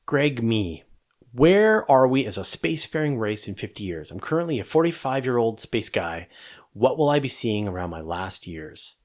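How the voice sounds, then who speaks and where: A man's voice: medium pitch, very animated, normal speed. Middle-aged man explaining, conversational and emphatic. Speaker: host of a space question-and-answer show.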